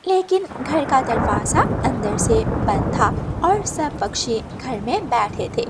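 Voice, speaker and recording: A woman's voice: on the high side, very animated, slow, background noise. A woman reading scripted storytelling narration for a cartoon as its narrator.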